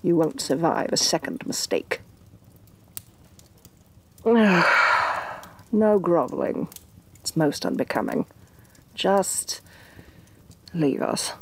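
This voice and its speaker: A woman playing a haughty, domineering evil sorceress queen, soft-spoken and imperious in a fantasy ASMR roleplay. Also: fairly low pitch, lively intonation, takes it slowly, long pauses, slight background noise. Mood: scornful, impatient. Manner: imperious, condescending, theatrically disdainful.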